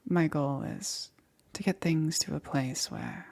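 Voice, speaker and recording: A woman playing a gentle, apologetic witch in an intimate ASMR roleplay, speaking softly and hesitantly in a breathy near-whisper. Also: low-pitched, wildly swooping pitch, heavily acted. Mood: hesitant, concerned. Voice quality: soft, breathy, often whispered. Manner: hesitant, halting, reassuring. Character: gentle, apologetic witch necromancer caring for her undead patient.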